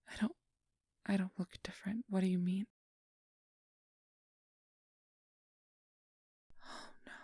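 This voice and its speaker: Casting: woman to play possessive female fae for ASMR roleplay, imperious, breathy and whispery, close dry sound. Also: medium pitch, a little mellow, dry recording. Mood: uneasy, menacing. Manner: whispery, commanding, swinging to pleading outbursts. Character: possessive, manipulative female fairy, imperious and desperate.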